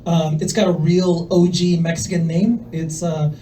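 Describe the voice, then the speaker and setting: A young man from El Salvador does stand-up in a club, his soft, fairly high voice unhurried and full of ums. He delivers his lines in a hesitant deadpan, and he sounds a little distant from the mic. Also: slight background noise. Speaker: stand-up comedian. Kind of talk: stand-up set. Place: comedy club. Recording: voice from far off the mic.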